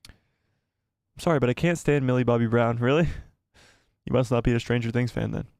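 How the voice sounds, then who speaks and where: A man hosting a live stream, chatting casually and reading out viewer comments. His voice is going hoarse and he rambles and repeats himself as he thinks aloud. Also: mid-range voice, normal speed, darkish tone, no room sound, clean studio sound. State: losing his voice, coughing. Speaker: livestream host.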